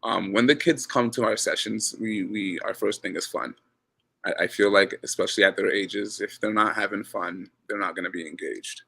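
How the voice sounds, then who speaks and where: A man's voice: on the low side, normal speed, gravelly. A man from Ghana, a basketball coach, talking casually as a guest, repeating words as he goes. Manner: relaxed, repeats words, says he has a loud voice. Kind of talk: casual interview chat.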